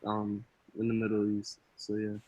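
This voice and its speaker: A man's voice: on the low side, completely flat delivery, normal speed, darkish tone, breathy edge, no echo, rough recording. A young man whose first language is Arabic, a student answering his professor casually with lots of "like" and "I mean". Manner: casual, loose, full of "like" and "I mean".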